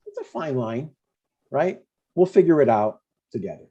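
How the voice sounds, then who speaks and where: A man's voice: medium pitch, lively intonation, moderate pace, warm tone, slightly rough, highs cut off. A man playing a chatty, stubborn older father in a comedy table read, in a conversational, mildly needling way. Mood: breezy, coaxing.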